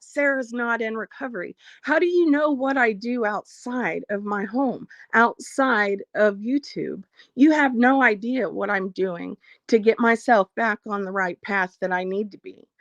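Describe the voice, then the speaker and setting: A woman hosting a casual livestream, reading out viewer comments and speaking frankly about her life, slightly hoarse as she loses her voice. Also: on the high side, no room sound. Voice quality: frank, blunt, swears freely. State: losing her voice, a bit hoarse. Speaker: livestream host.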